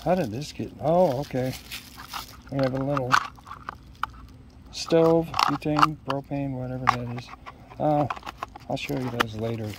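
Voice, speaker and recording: Male unboxing vlogger, middle-aged, unhurried and hesitant, casual delivery, outdoor background noise. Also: medium pitch, fairly even intonation. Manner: hesitant, musing to himself in short fragments. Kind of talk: casual unscripted unboxing chat. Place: outdoors in a leafy yard. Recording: outdoor ambient noise behind voice.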